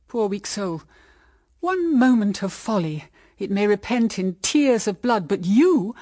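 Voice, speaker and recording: An actress playing a gentle, wise, dignified older mother in a period radio drama, speaking in an earnest, formal, measured manner. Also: medium pitch.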